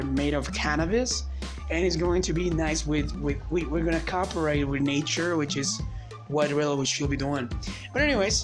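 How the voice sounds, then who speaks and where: A man whose first language is Spanish, giving a rambling, motivational solo podcast monologue in casual chat. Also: on the high side.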